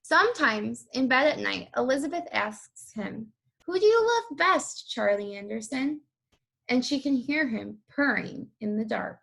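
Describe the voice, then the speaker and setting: A woman's voice: a little high, lively intonation, unhurried, gravelly, fairly bright tone, poor audio. A woman reading a children's picture book aloud as a co-reader.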